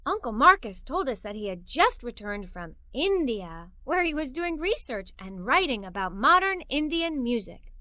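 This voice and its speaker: A woman reading a children's story aloud in an animated, playful, emphatic way, as a young girl telling it. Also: high voice, moderate pace, dry recording, studio quality. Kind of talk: expressive read-aloud storytelling in a young girl's first person.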